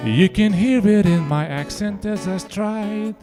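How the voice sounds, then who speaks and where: A woman sings an acoustic pop parody at an unhurried pace. Her voice sits in the middle range, with guitar playing loudly along with her. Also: mid-range voice. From Jordan. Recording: loud guitar music over voice. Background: plucked guitar.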